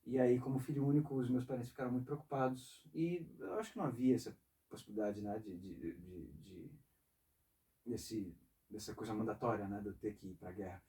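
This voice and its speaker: A man in his forties from northeastern Brazil, an interview guest talking casually and reflectively, in a halting way. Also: fairly low pitch, mellow, distant mic, rough recording. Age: 40s. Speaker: interview guest, saxophonist and composer. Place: music studio.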